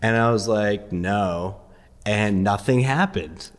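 Easygoing low-voiced man, reverby. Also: slow. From Iran. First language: Farsi. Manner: relaxed, laughs easily, many ums and uhs. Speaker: guest, investor. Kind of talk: casual interview storytelling.

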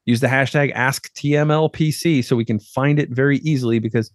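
A man's voice: medium pitch, steady tone, brisk, no room sound, studio quality. A man hosting a podcast, interviewing a guest and chatting with his co-host in an upbeat, chatty way.

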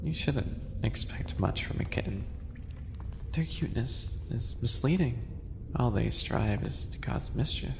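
A man acts out a smug, sadistic animal-boy maid for an ASMR roleplay. His delivery is hushed, teasing and very unhurried, with a creaky fry. It rings with long reverb over noisy ambience. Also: mid-range voice, animated. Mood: condescending. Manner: condescending, teasing, hushed and drawn out. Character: sly, smug, sadistic male animal-person maid yandere. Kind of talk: roleplay ASMR audio acting.

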